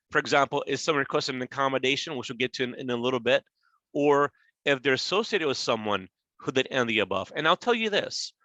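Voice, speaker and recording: A man's voice: medium pitch, bright, forceful tone, dry recording, dull audio. A man in his forties giving an informal, conversational webinar presentation on workplace law, explaining and rephrasing points as he goes. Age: 40s. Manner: conversational, checks in with "right?". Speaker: government agency presenter.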